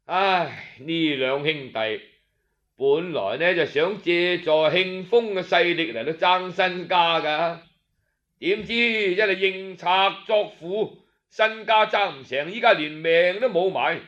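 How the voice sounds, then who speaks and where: A man with a Cantonese accent narrating a story in colloquial traditional style, expressive and drawing out his sentence endings. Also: high voice. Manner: expressive, with drawn-out particles. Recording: slightly dull, lo-fi audio.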